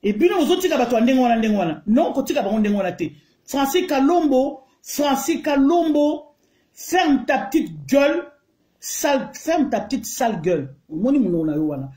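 Middle-aged Congolese-accented woman, fiery phone-video rant, higher voice, roomy echo. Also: very animated, normal speed, dark tone. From Congo (DRC). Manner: indignant, emphatic, mixes French and Lingala. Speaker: online commentator. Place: small room indoors. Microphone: phone held close to the face. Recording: echoey room.

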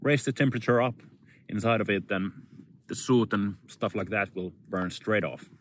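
A man in his thirties chatting casually and reflectively to camera, unhurried, speaking in short phrases with pauses. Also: on the low side, a little hiss or hum. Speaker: outdoor vlogger. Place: inside a small tent and outdoors in snowy woods.

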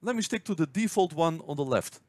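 A man narrating a scripted spreadsheet tutorial, explaining step by step in a clear, measured way. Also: a little high, a little mellow, no echo. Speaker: finance tutorial host. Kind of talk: scripted screen-recording tutorial.

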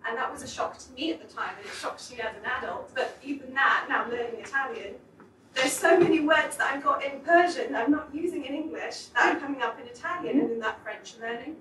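A woman's voice: high-pitched, fairly quick, fairly bright tone. A woman in the audience at a public talk, asking a long, reflective question. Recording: echoey room, distant mic, faint noise.

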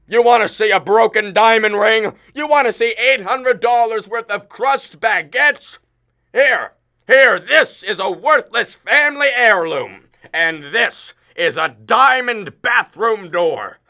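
A man's voice: high voice, gravelly, bright, forceful tone, dry recording, heavily acted. A man playing an exasperated middle-aged father of the bride in a radio play, ranting with angry sarcasm. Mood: furious sarcasm.

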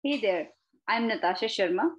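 Woman, from India, husky, mid pitch, friendly introduction. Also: lively intonation, moderate pace, dull audio. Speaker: assistant professor. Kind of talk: self-introduction to camera.

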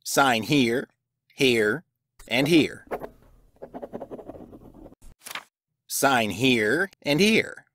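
A man's voice: mid-range voice, lively intonation, drawn-out words, a bit bright, slight background noise. An actor playing a gleefully spiteful, smug male school official in an audio drama, with theatrical delivery. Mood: brisk.

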